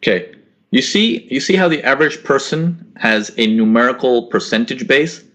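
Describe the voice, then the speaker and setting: A man's voice: medium pitch, expressive, moderate pace, fairly bright tone. A young man hosting a live trading webinar from home, answering chat questions in a casual coaching manner and often repeating his words. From grew up in Korea and the US. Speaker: forex trading webinar host. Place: small indoor room at home. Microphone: laptop webcam mic on a video call.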